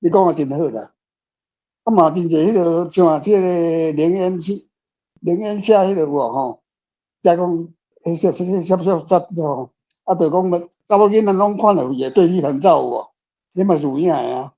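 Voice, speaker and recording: A man's voice: fairly high pitch, moderate pace, mellow. A man with a Taiwanese accent answering casually as a guest author in a panel discussion, rambling and repeating his words. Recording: dull, lo-fi sound.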